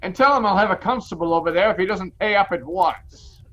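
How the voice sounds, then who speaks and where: A man's voice: high voice, brisk, a bit bright, muffled recording. An actor playing an irritable, miserly old businessman in a radio play, barking with scorn and dismissing everyone. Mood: curt, grumbling.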